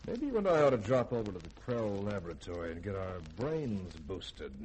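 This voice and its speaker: Male spaceship commander, brisk, commanding, very animated 1950s radio style, slightly noisy old recording. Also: on the low side. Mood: wary. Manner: commanding, direct. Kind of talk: 1950s radio drama acting.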